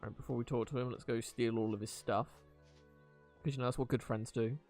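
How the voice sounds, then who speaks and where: A man's voice: mid-range voice, steady tone, a little fast, darkish tone. A man doing casual live gameplay commentary as a streamer, with dry joking asides. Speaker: game streamer. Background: game music.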